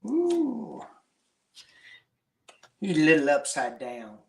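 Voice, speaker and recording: A man's voice: a little high, huge pitch swings, slow pace, vocal fry, a little hiss or hum. A man appearing as a guest tarot reader, chatting casually in a playful way with exclamations and puns. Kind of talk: casual chat.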